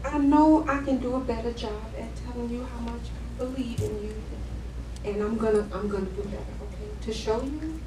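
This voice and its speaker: A woman playing an exasperated but caring wife in a stage play, emphatic and expressive. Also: on the high side, normal speed, close to an everyday voice. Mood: softened, affectionate. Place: living-room stage set indoors. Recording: roomy, distant camera mic, background noise.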